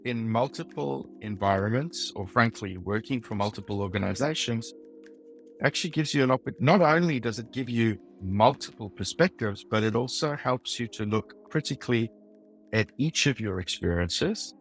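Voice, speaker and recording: A man with a South African accent, a design leader speaking as a podcast guest in a thoughtful, measured way. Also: mid-range voice, expressive, gravelly, a bit bright, a little hiss or hum. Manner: thoughtful, measured, some ums and uhs. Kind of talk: podcast interview.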